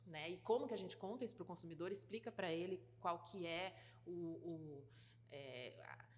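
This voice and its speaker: A woman with a light gaúcho accent explains her work in an interview, thinking aloud with fillers and restarts. Her voice sits a little low for a woman. A long reverb trails behind her, as if she were in a large hall. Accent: light gaúcho Brazilian Portuguese. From Rio Grande do Sul, Brazil. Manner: thoughtful, many fillers and restarts. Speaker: guest, food certification business partner.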